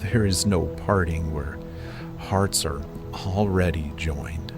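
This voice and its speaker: Man playing a low, slow earnest young acolyte. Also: music in the background. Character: reluctant, loyal 18-year-old male mystery-school acolyte. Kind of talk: scripted audio drama.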